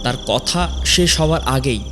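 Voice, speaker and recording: A male storyteller delivers a scripted horror tale with measured, suspenseful phrasing. His medium-pitched voice pauses for drama over a clear music bed. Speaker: narrator.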